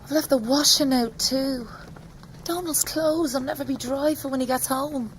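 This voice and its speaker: A woman's voice: sits high, moderate pace, a little hiss or hum, lightly acted. A woman playing an anxious working-class young mother who fears for her husband, in an intimate audio drama monologue. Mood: flustered. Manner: hushed, breathy inner thoughts broken by spoken-aloud outbursts. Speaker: actor in a solo audio play.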